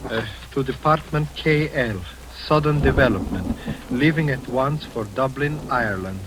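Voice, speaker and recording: A timid, stammering young man, voiced by an actor who does his aunt's bidding. His pitch jumps about nervously, and the old broadcast recording carries a clear hiss. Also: animated, moderate pace, close to an everyday voice. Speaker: Carl, the nephew. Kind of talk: 1940s radio acting.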